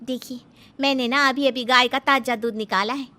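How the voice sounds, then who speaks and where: A woman's voice: high voice, fairly even intonation, moderate pace, pushed and bright, voice pushed up. A woman voicing a plucky young village daughter-in-law in a cartoon story, earnest and rustic in manner. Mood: persuasive. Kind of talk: Hindi cartoon story acting.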